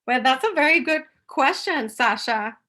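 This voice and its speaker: A woman's voice: high voice, expressive, normal speed, bright, forceful tone. A woman from Puerto Rico, an author chatting casually with her audience on a video call, laughing often and mixing in Spanish words. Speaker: author answering audience questions. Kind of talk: casual live Q&A chat.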